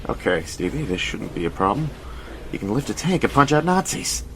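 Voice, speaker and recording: An American man playing a nervous young super soldier in an audio drama, speaking in a bashful, halting, earnest way. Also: medium pitch, sing-song, background noise.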